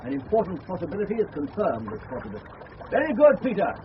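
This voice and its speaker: An actor playing a brisk, kindly, authoritative English gentleman in a radio drama. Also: high voice, wildly swooping pitch. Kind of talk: 1970s radio drama acting. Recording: muffled, noisy old radio broadcast.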